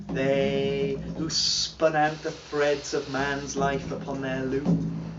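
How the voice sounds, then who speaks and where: Man, storyteller, slow chanting recitation, roaring calls and hushed murmurs, roomy dull far-off recording, drumming behind. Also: mid-range voice, slight background noise. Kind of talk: dramatic recitation of an old charm. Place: heritage site, live performance room.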